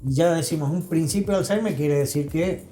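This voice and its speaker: A man's voice: a little high, a little fast, warm tone. An Argentine man explaining didactically as an interview guest, often checking in with short tag questions. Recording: faint music behind the voice. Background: music.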